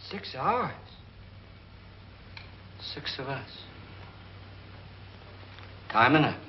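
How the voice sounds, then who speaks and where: A man's voice: medium pitch, big pitch swings, extremely slow, slight background noise. An actor playing a jittery, drunken man obsessed with ghosts, speaking with ominous fear in 1950s horror film style. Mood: doomful. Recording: muffled 1950s film soundtrack with music.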